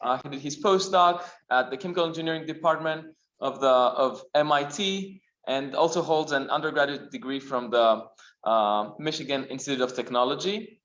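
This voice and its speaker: A man with a Greek accent hosts a seminar and introduces the guest speaker, halting with many fillers. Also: medium pitch, a bit bright. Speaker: seminar host. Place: lecture room. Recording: echoey room, dull lo-fi sound.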